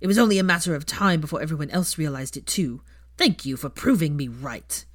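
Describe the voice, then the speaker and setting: A woman's voice: low voice, lively intonation, brisk. A woman voicing an angry, scornful writer of hateful letters in an audiobook story. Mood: contemptuous. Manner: venomous, scornful. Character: angry letter writers shrieking venomous insults.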